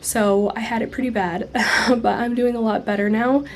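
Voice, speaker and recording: A woman's voice: a little high, steady tone, normal speed, a little hiss or hum. Stuffy-nosed young woman lying back and chatting about a book, phone up close. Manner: chatty, explains at length. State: congested, recovering from illness. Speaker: book vlogger. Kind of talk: casual reading update. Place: indoors, lying against pillows. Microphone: phone camera held close.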